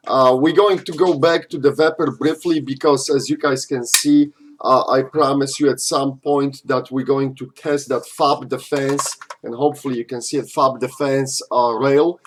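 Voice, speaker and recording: A man's voice: medium pitch, steady tone, moderate pace, few pauses, a little hiss or hum. A man from Poland, hosting a casual live-stream Q&A and answering chat questions in a loose, chatty way full of fillers. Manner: loose and chatty, frequent "uh" and "you know", slightly non-native phrasing.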